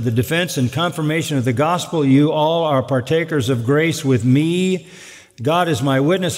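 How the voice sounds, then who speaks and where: An older male pastor preaching from a pulpit, measured and earnest with dry asides. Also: on the high side, darkish tone. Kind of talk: expository sermon. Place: large church sanctuary at a wooden pulpit. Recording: long reverb of a large sanctuary.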